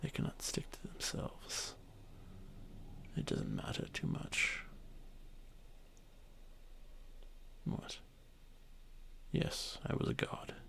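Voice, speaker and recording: A man playing an arrogant, ancient dragon boy who was once a god, speaking with haughty condescension in a breathy, creaky voice for a fantasy ASMR roleplay. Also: a bit bright, a little hiss or hum. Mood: disdainful. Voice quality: breathy, whispery, with creaky edges. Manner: haughty, condescending, unhurried formal speech.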